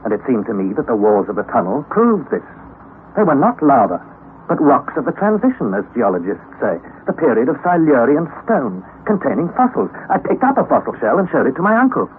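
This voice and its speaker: A man's voice: mid-range voice, wildly swooping pitch, fairly quick. A man playing an anxious young nephew who narrates a perilous expedition in an old radio drama, earnest and formal. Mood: grave narration. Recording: tinny, noisy old radio recording.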